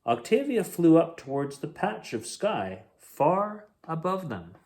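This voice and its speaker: A man reading a children's picture book aloud as a bedtime story host, in an expressive, dramatic way. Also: a little high, slow pace.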